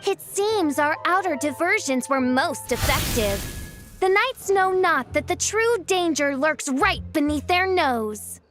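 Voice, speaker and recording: A woman's voice: high voice, expressive, measured pace, fairly bright tone. A woman playing a theatrical, proud teenage girl mage in an anime game, declaiming in pompous, old-fashioned phrases. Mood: gloating. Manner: pompous, mock-archaic, declamatory. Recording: music behind the voice. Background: music.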